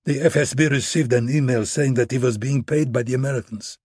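A man's voice: medium pitch, fairly even intonation, a little fast, a little mellow, husky, dry recording, studio quality, lightly acted. A man doing a panicked Russian agent. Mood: compliant. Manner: thick accent, pleading. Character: frightened male Russian agent, pleading.

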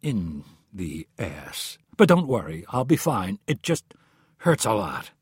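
A man's voice: mid-range voice, very animated, normal speed, slightly rough, dry recording, clean studio sound. A man voicing an evasive, embarrassed husband, a tough operative who answers hesitantly and tries to placate, as in an audiobook. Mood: sheepish, evasive.

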